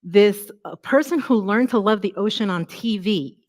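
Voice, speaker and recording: A woman's voice: medium pitch, normal speed, a little mellow. A woman born in Colombia and raised in the United States, giving a self-deprecating, anecdotal public talk. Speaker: conference speaker.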